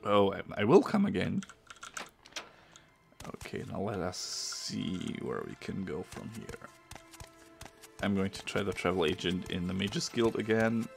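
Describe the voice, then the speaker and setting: Casting: German-accented male gamer, very low gravelly voice, chatty, searches for words, faint music under him. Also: animated, unhurried, long pauses. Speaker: gameplay streamer. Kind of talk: casual let's-play commentary.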